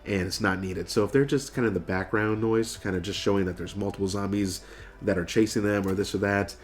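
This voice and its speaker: A man's voice: fairly low pitch, fairly even intonation, brisk. An American man in his thirties, nasal from getting over a cold, casually explaining things to camera as a video host. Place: furnished room indoors.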